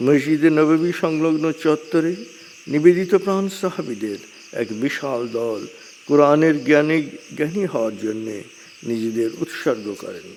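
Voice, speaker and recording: A man giving a measured religious lecture, speaking deliberately in short phrases with frequent pauses. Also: fairly high pitch, a little mellow. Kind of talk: measured religious lecture, partly scripted narration. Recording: long hall reverb, clear background noise.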